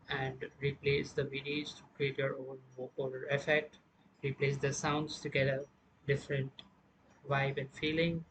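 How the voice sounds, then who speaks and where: A man presenting an informal music production software tutorial, explaining step by step in a halting way. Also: medium pitch, a little hiss or hum, poor audio.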